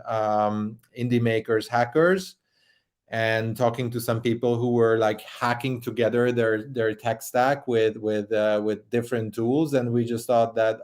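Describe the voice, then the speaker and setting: A middle-aged man with a Hungarian accent talks casually over a webcam from a bright room at home. He rambles a little, restarting his sentences and filling pauses as he explains things. His pitch sits in the middle range for a man. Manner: chatty, frequent restarts and fillers. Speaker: startup co-founder guest. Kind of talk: casual interview talk. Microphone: video call webcam.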